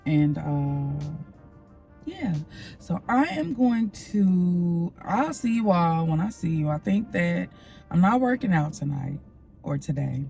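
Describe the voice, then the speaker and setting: A woman's voice: fairly low pitch, sing-song, slow pace, creaky, dark tone, soft background music. A young woman with a slightly nasal voice, rambling casually to her vlog viewers and calling them y'all. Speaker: vlogger. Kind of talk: casual vlog chat to camera.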